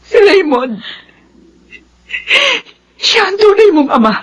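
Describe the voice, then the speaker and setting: Anguished Filipino mother, high voice, radio drama, emphatic, long-suffering, music underneath. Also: sing-song, slow, full character voice, low-quality recording. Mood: anguished confession. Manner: tearful, emphatic delivery.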